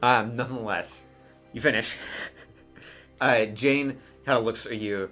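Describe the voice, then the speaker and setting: A man's voice: mid-range voice, unhurried, pushed and bright, faint music behind. A man narrating a tabletop game as game master in a casual, conversational way.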